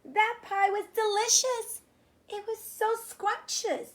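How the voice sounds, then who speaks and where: Woman playing an anxious, high-pitched young boy. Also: fairly even intonation, takes it slowly. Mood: delighted. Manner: urgent, exclaiming, dramatic. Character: anxious young boy narrator, dramatic and panicky.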